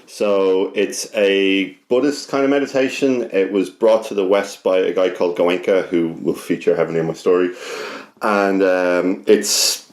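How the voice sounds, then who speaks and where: A deep-voiced Irish man tells a story in a loose, conversational way. He is self-deprecating, rambles and swears freely. The room echoes clearly around him. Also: fairly even intonation, a little slow. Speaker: podcast guest. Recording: roomy, echoing podcast recording.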